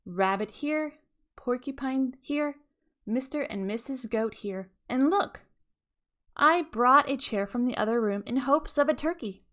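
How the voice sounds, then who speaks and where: Woman as a bright-voiced, sweet lady moose. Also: sits high, lively intonation, a little slow, pitched above the performer's own voice. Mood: happy, welcoming. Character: gentle, wistful female moose wife.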